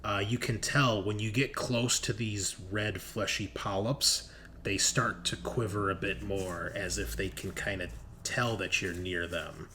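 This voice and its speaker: Guy game mastering a tabletop rpg, lowish voice, chatty and sweary, bit of background noise. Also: fairly even intonation, unhurried, sharp tone. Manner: conversational, swears casually. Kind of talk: narrating a tabletop roleplaying game.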